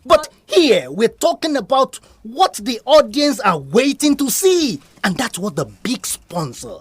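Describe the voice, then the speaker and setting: A woman playing a brash, money-minded pageant organiser in an audio drama, forceful and mocking, with a Nigerian accent. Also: on the high side, sing-song, a little slow, breathy edge, no room sound, heavily acted. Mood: scornful.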